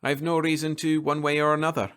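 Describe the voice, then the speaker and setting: A man's voice: on the high side, flat delivery, fast talker, fairly bright tone, clear, resonant tone, voice pushed up, close to an everyday voice. A man voicing a sandy-haired, cautious explainer in a story, speaking in a careful academic manner. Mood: measured, cautious.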